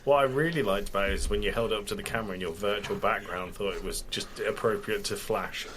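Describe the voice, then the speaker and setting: A man's voice: on the low side, fast talker, fairly bright tone, a little hiss or hum. A man co-hosting a casual podcast, sweary and easily sidetracked into anecdotes, getting over a cold. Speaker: podcast co-host. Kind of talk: casual chat, telling anecdotes.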